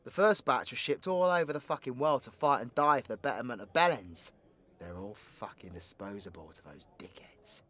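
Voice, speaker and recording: A man's voice: medium pitch, expressive, moderate pace, fairly bright tone. A British man playing a cocky, foul-mouthed, teasing supervillain in an audio roleplay, with crude slangy banter. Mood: sardonic, explaining. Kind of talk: ASMR audio roleplay acting.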